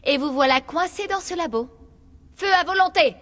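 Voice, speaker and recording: A woman's voice: high voice, expressive, moderate pace, fairly bright tone, a little hiss or hum. A woman playing a hostile, defiant alien woman in a dubbed video game. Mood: menacing.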